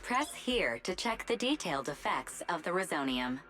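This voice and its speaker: A woman's voice: lowish voice, very animated, moderate pace, fairly bright tone, faint music behind. An actress playing a dutiful female AI assistant in a video game, giving precise, clipped instructions. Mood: precise, helpful. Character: dutiful female AI assistant guiding her master.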